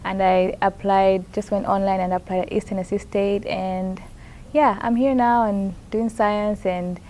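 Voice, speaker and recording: A young woman from Zambia speaks in a warm, mellow voice. She answers interview questions softly and haltingly, restarting phrases and filling pauses as she thinks aloud. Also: medium pitch, fairly even intonation, slight background noise. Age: young adult. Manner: hesitant, many fillers and restarts, soft-spoken. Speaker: master's student in plant science. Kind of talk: conference interview, casual answers.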